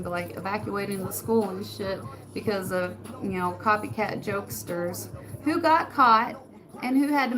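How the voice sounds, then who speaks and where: A soft-spoken woman with a rural Western American accent, chatting casually with her viewers and laughing often. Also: a little high, rough recording. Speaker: channel host. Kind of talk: casual live chat answering comments. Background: background music.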